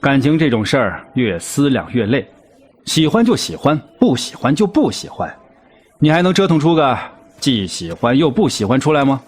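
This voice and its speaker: An actor playing a brash, blunt wolf king in a costume audio drama, gruff and impatient. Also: mid-range voice, sing-song, moderate pace, a little hiss or hum, natural voice. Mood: frank, nagging. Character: brash, blunt wolf king, a grown man at his wedding.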